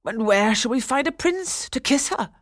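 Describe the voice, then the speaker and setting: A woman's voice: high-pitched, animated, normal speed, dry recording, clean studio sound, pitched above the performer's own voice. A woman voicing a sensible male royal vizier in a storybook, emphatic and querulous in manner. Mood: demanding.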